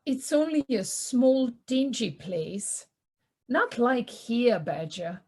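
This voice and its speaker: Audio play mole, played by a woman: earnest, homely, gentle, slow-spoken with a high pitch. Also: lively intonation, slightly rough, full character voice. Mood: wistful. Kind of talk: community audio play acting. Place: living room, recorded over video call.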